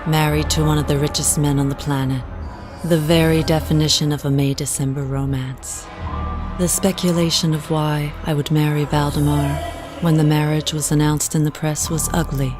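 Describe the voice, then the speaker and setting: An actress plays a young widow confiding her story in a radio play. Her voice is very low for a woman, formal and measured, with music clearly behind it. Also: flat delivery, lightly acted. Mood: composed, confiding. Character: composed young widow, former jazz singer, telling her story. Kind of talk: audio drama first-person narration.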